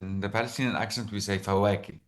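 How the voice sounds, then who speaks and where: A man with a Lebanese accent telling personal stories as an interview guest, halting as he searches for words. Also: on the low side, fairly even intonation. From Lebanon, born in the Emirates. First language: Arabic. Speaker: interview guest, author and storyteller. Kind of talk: reflective personal storytelling in conversation.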